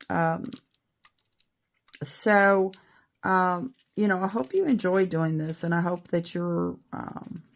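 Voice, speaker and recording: A middle-aged woman with a raspy voice after an illness, chatting casually and rambling a little while she shows how to make a beaded bracelet. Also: on the low side. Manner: chatty, rambling, self-deprecating. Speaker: beading video host. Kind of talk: casual tutorial chat.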